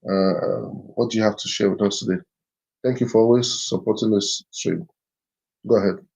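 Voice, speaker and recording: A man's voice: fairly low pitch, normal speed, gravelly, darkish tone. A man hosting a live stream as a business mentor, talking in a repetitive, urging way with many fillers. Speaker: livestream host and business mentor.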